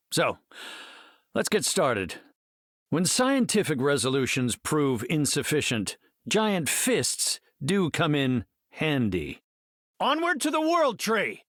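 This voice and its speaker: An actor playing an earnest middle-aged scientist superhero in a video game, speaking in an articulate, cerebral, heroic way. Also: male voice, mid-range voice, fairly bright tone, no room sound, studio quality. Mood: calm, resolute, then determined. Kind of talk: video game hero voice lines.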